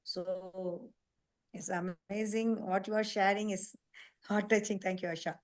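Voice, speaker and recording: A woman's voice: medium pitch, fairly even intonation. Woman hosting a video call. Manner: halting, repeats words, emphatic lists. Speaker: nutrition program host. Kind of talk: casual online meeting talk.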